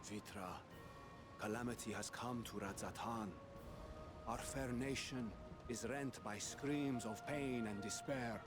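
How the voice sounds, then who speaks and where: An earnest, dignified counselor from a fantasy game, voiced by a man. His mid-range voice is formal and stately, as if addressing a nation. Clear music runs underneath. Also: medium pitch, slow pace, close to an everyday voice. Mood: earnest, pleading. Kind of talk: dramatic video game voice acting. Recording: music behind the voice.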